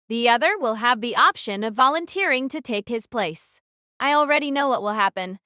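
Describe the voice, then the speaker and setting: A woman's voice: sits high, sharp tone, smooth, no echo, studio quality. A woman acting in an audio drama as a troubled, defiant young survivor who narrates her own thoughts in a dramatic, introspective way.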